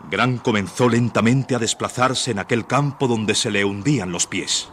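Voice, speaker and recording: Male narrator: deep, solemn, theatrical radio suspense storytelling, slight background noise. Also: moderate pace, a bit bright. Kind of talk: dramatic scripted radio narration.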